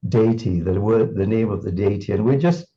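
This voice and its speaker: A man's voice: on the low side, moderate pace, mellow, dull audio. A man from Singapore reminiscing in an interview, thoughtful and full of restarts and fillers. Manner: thoughtful, repeats words, many fillers.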